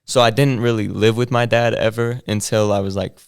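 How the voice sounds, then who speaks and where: Young male rapper guest: very low, flat, gravelly voice, relaxed slangy delivery. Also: a little fast. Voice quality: deep bassy voice that he says sounds mumbled. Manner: laid-back slang-heavy storytelling with many fillers. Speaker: hip hop artist guest. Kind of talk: casual interview chat.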